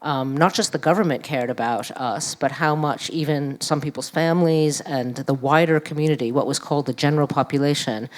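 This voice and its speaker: A middle-aged woman with a New York accent speaking conversationally at a public talk, halting and thoughtful. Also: low-pitched. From New York, USA. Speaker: panel speaker, academic and activist. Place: live event room at a museum.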